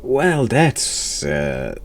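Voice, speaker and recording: A man hosting a horror game playthrough, replying casually in a dry, deadpan manner. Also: mid-range voice, drawn-out words, a little hiss or hum. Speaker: video game player reacting as host.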